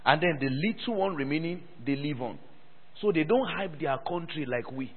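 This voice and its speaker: High, animated preaching man. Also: normal speed, husky. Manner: call-and-response preaching, repeats phrases for the church. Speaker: pastor. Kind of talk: sermon. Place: church hall. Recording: thin phone-like sound with long hall reverb.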